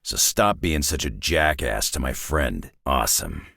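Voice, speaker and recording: A man playing a gruff, world-weary, sardonic middle-aged superhero brawler in a video game, with a raspy growl and a blunt, wry drawl. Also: a bass voice, lively intonation, unhurried, pushed and bright, dry recording, clean studio sound, full character voice. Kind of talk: video game character voice lines.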